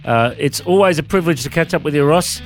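A man's voice: on the high side, quick pace. A man hosting a radio interview show, speaking in a presenter's sign-off style. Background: plucked guitar music.

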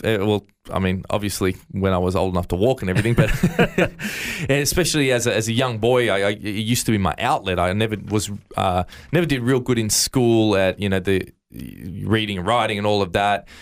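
A man with an Australian accent, a guest in an interview, earnestly recounting his faith story with frequent stammered restarts. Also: lowish voice. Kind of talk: interview, personal testimony.

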